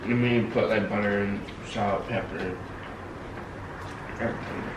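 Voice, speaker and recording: A man's voice: on the low side, steady tone, unhurried, noisy background. A young man at a family dinner, talking in a laid-back, rambling way. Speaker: family member. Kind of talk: casual family dinner chat. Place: dining room at home. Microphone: far camera across table. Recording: echoey room, distant mic, table clatter.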